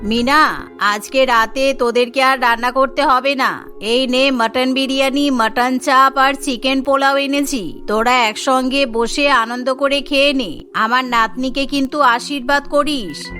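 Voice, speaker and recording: A woman's voice: high voice, fairly even intonation, measured pace, pushed and bright, soft background music, pitched below the performer's own voice. A woman voicing a generous older grandmother from next door in a cartoon, chummy, coaxing and insistent. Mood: hearty, generous.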